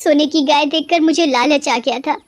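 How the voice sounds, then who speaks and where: A woman's voice: sits high, normal speed, pitched above the performer's own voice, lightly acted. A woman voicing a timid, remorseful village mother in a cartoon story, pleading fearfully. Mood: frightened, pleading.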